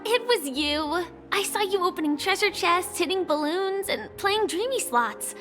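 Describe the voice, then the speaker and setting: A super high perky game girl, chatty and eager, faint music behind. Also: animated, measured pace. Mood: excited, friendly. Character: bright, chatty, curious young festival-goer girl. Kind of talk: video game voice acting.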